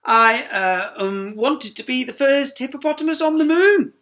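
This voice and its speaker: A woman playing an excitable, high-pitched hippo dreamer. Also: unhurried. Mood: apologetic. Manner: exclaiming, earnest. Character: eager, big-dreaming young female hippo adventurer.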